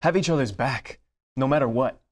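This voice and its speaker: A man's voice: mid-range voice, normal speed, natural voice. A man playing a brash, loyal young street drug dealer in a drama table read. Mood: tough, loyal.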